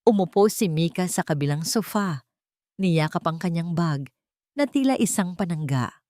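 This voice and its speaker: Woman reading a romance drama story, steady and measured, lowish voice, dry close sound. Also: no echo. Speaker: narrator. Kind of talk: scripted story narration.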